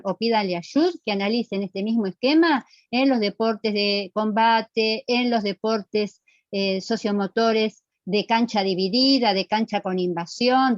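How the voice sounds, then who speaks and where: A woman's voice: medium pitch, dry recording, highs cut off. A middle-aged woman with a Rioplatense Argentine accent lecturing to students in a didactic, emphatic way, checking they follow with short questions. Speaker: physical education lecturer.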